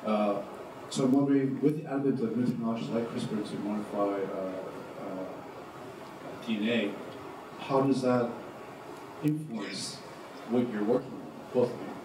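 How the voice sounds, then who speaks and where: A man's voice: medium pitch, unhurried. A young man, a student, asking a panel a question from the audience, hesitant and full of fillers. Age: young adult. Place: large lecture hall, audience. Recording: roomy hall, distant mic, room noise.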